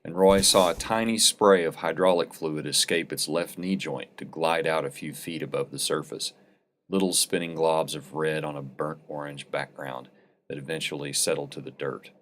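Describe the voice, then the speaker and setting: A man's voice: very deep voice, steady tone, normal speed, a bit bright, slight background noise. A man narrating a science fiction short story as a scripted, dramatic reading.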